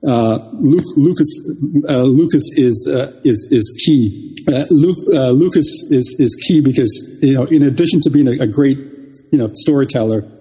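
A man's voice: medium pitch, unhurried, a little mellow, husky, long reverb tail. An older man from Jamaica, a native Jamaican speaker, recalling his career in an interview with many restarts and repeated words. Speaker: guest. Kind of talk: reminiscing interview talk.

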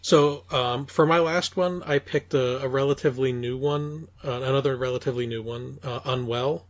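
A man co-hosting a podcast in casual discussion, speaking haltingly with many ums. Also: medium pitch, steady tone, no room sound, dull audio. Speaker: podcast co-host.

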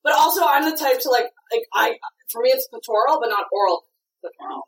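A woman with a loud voice, talking casually in blunt, sweary rants among a group. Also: on the high side, fairly quick. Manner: blunt, animated, swearing freely. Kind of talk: casual ranting banter. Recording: roomy, distant mic, faint background noise.

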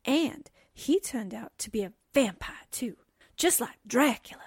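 A woman playing an excitable, slangy young newspaper assistant in a breezy, dramatic 1940s radio drama style. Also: fairly high pitch, a little slow, slightly rough, dry recording, studio quality, full character voice. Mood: thrilled, spooked.

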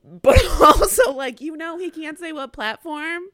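A woman's voice: high voice, unhurried, crowd noise. A young woman co-hosting a podcast, chatting casually and animatedly, swearing freely and acting out what people said. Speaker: podcast co-host. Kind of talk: casual chat recapping a reality show.

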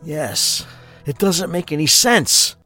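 A man's voice: fairly high pitch, expressive, slow pace, pushed and bright, soft background music, full character voice. A man playing a guarded, determined husband in a crime audio drama, speaking with intensity. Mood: puzzled. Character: capable, determined husband of a kidnapped wife.

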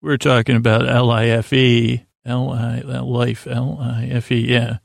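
An American man with a creaky voice hosting a sleep podcast, rambling in a halting, reassuring way as he speaks straight to the listener. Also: mid-range voice, little pitch movement, no echo, studio quality. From Syracuse, New York, United States. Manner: meandering, halting, stumbling over words, reassuring. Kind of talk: rambling bedtime monologue, addressing the listener.

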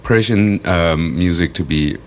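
Male co-host, extremely deep voice, halting, filler-heavy, faint noise. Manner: halting, lots of fillers and backchannels. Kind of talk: casual interview.

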